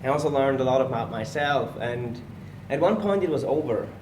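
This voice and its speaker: A man talks easily about his past, his English a little in phrasing. The mic sits some way off in an echoey gym, with steady noise behind him. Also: medium pitch, normal speed, recorded from a distance. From Switzerland. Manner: relaxed storytelling, slightly non-native phrasing. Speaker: guest, personal trainer. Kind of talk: casual podcast interview.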